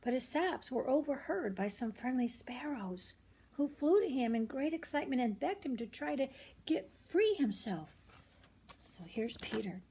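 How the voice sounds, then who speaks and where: An older woman reads a children's picture book aloud expressively, pausing to explain things simply to young listeners. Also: high voice, big pitch swings, warm tone, husky. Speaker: storyteller grandmother reading aloud.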